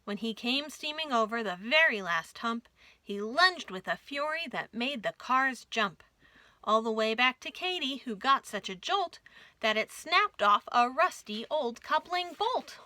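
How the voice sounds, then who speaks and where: Female storytime reader, higher-pitched and bright, dry close sound, expressive delivery of rhyming children's verse. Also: unhurried, no room sound. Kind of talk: expressive read-aloud of a rhyming picture book.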